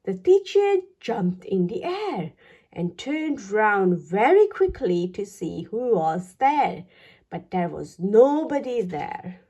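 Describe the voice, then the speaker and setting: Slow, lively woman reading a children's story. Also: mid-range voice, slightly rough, dull audio. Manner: expressive, deliberate phrasing for young listeners. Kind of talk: read-aloud children's storytelling.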